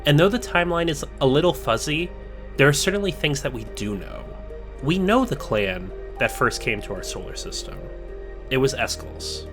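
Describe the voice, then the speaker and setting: A man's voice: a little high, animated, music in the background. Man narrating a reflective video essay. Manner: measured, reflective, slowing for emphasis. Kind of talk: scripted narration. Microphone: voice-over.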